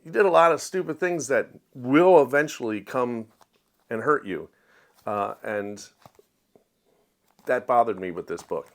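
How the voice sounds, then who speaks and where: A man's voice: mid-range voice, a little slow, long pauses. A man giving a casual, opinionated book review to viewers in a conversational, emphatic way. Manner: conversational, acts out quoted dialogue in everyday voice.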